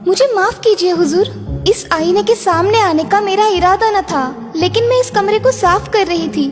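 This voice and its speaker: A timid, innocent servant girl from a children's cartoon fairy tale, played by a woman. Her voice is extremely high, polite and pleading, over clearly heard music. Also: moderate pace. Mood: apologetic, timid. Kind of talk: Hindi children's animated fairy tale acting.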